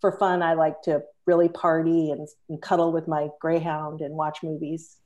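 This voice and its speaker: A woman's voice: low-pitched, flat delivery, normal speed, warm tone. A middle-aged woman on a casual video call from her living room, talking in a friendly, upbeat way. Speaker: guest, UX consultant. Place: living room with patterned chairs.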